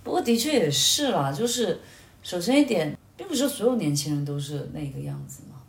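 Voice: medium pitch, very animated, fairly quick. A podcast host in casual conversation, listening attentively and responding with short acknowledgements and easy remarks. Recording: echoey room.

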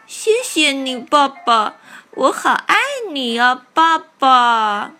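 A woman voicing an excitable, affectionate newborn duckling in a storybook, with childlike, pleading calls. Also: high-pitched, takes it slowly, full character voice. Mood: sleepy, loving. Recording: soft music behind the voice.

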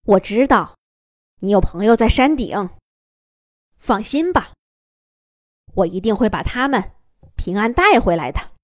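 A woman voicing a calm, kind rabbit who captains an animal rescue team, speaking steadily and reassuringly in a children's storybook. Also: mid-range voice, measured pace, long pauses, dry recording, studio quality. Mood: gentle.

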